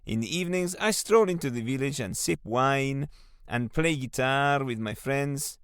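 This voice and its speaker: A man's voice: medium pitch, normal speed, smooth, no room sound, studio quality, lightly acted. Guy doing a chill mexican fisherman voice, put-on accent, takes it easy. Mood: content. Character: contented male Mexican village fisherman.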